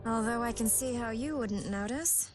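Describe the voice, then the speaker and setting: Bright-witted, higher-pitched, sassy superheroine played by a woman. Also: moderate pace, soft background music, lightly acted. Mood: wry. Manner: breezy, sardonic. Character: sassy, wisecracking young superheroine.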